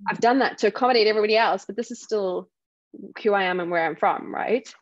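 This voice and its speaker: A woman from South Africa with a softened South African accent, a guest telling stories in a casual interview and acting out what other people say. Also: medium pitch, quick pace, no echo, dull audio. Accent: South African, softened by years in the UK. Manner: animated storytelling, acts out other people's remarks. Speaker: guest speaker.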